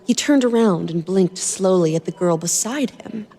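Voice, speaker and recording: A woman reading fan fiction aloud as a podcast host, in an expressive storytelling style. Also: lowish voice, normal speed, slightly rough, long reverb tail.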